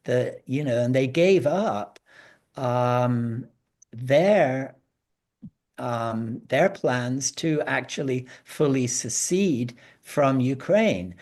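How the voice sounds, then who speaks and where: Slow, halting man explaining. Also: medium pitch, animated, darkish tone. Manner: halting, hesitant, frequently repeating words. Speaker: guest analyst on a panel. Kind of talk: informal explanatory panel talk.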